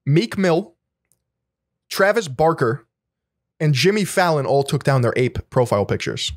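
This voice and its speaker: A man's voice: fairly high pitch, big pitch swings, unhurried, no room sound, studio quality. A man co-hosting a podcast in casual conversation, laid-back, explaining at length and often repeating words. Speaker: podcast co-host.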